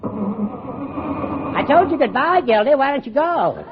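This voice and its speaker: An American actor playing a wry, meddlesome old judge who teases dryly in a 1950s radio comedy. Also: male voice, very high-pitched, expressive, slow, full character voice. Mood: teasing. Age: older. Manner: dry, teasing, meddlesome, cackling. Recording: muffled, noisy old radio broadcast.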